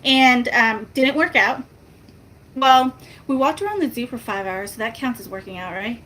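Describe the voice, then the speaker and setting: Woman, soft-spoken, fairly high voice, chatty and self-mocking, faint background noise. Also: expressive, normal speed, rough recording. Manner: chatty, rambling, self-mocking, laughs often. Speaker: family vlogger. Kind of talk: casual chat to viewers.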